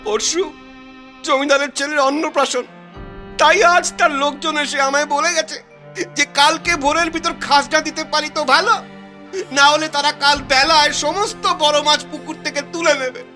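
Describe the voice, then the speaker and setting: A man's voice: extremely high pitch, fairly even intonation, moderate pace, pushed and bright, faint music behind. A man voicing a distressed, put-upon older village fish farmer in a cartoon, with a plaintive manner. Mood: tearful. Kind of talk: Bengali cartoon dubbing.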